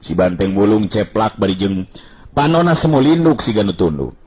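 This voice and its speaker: A man's voice: on the low side, lively intonation, moderate pace, a little mellow, husky. A man narrating a Sundanese folk tale as a radio-style storyteller, in scripted dramatic narration.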